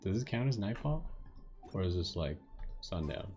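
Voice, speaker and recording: Low-pitched man casually streaming a game. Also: sing-song, moderate pace, dark tone, soft background music. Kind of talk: casual gameplay commentary.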